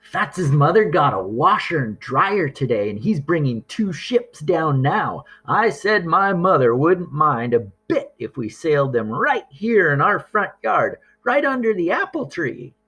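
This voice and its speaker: A man voicing a lively, bossy, imaginative young girl in a storybook, with eager, emphatic declarations. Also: on the high side, unhurried, few pauses, breathy edge, voice pushed up, full character voice.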